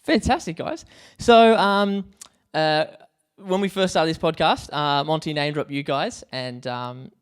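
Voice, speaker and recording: An Australian man hosts a casual interview in a clear, smooth voice that sits a little high. He phrases things informally, feeling his way into each question. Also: measured pace. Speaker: podcast host.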